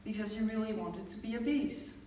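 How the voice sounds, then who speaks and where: A woman's voice: medium pitch, fairly quick, warm tone. A woman casually improvising a joking story as a storyteller. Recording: very echoey room from far off, faint noise.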